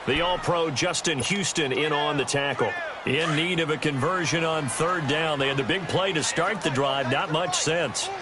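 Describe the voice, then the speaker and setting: An actor working as an American play-by-play announcer in a football video game, calling the action in a polished broadcast style. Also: male voice, on the high side, normal speed, close to an everyday voice. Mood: steady, focused. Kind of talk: sports play-by-play commentary. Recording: game audio under loud stadium noise. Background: loud stadium ambience and game sounds.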